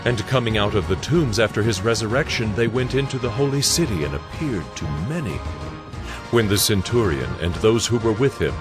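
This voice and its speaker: A man narrating scripture dramatically, in a solemn and measured manner. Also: lowish voice, lively intonation, over music.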